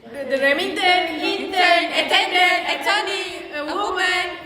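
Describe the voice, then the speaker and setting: A woman's voice: very high voice, a little slow. A young adult woman, presenting casually as a student in a group discussion. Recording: echoey hall with faint crowd chatter.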